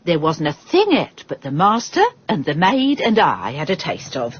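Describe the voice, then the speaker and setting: An actress playing an anxious, deferential, chatty middle-aged household cook in a radio drama. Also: female voice, low voice, sing-song, moderate pace, husky, dry recording, dull audio, heavily acted. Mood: fretful, flustered.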